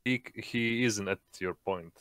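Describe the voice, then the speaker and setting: A man narrating as game master of an online roleplaying game, with hesitant, halting delivery over voice chat. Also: on the low side, a bit bright. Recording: background noise on voice chat.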